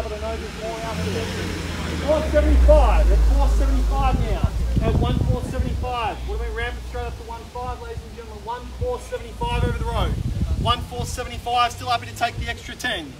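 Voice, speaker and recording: Australian male auctioneer, 30s, very high pitch, persuasive repetitive bid-calling, recorded outdoors from a distance with loud street noise. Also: lively intonation. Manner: brisk persuasive auction patter, repeating the figures. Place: outdoors on a suburban street in front of a house. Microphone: camera filming from some distance, no mic seen.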